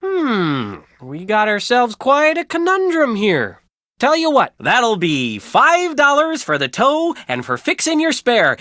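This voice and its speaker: A woman voicing a gruff, folksy male gas station owner in a video game, drawling and repeating a goofy slogan. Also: a little high, wildly swooping pitch, takes it slowly, a bit bright, dry recording, clean studio sound, exaggerated voice. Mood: folksy, bossy.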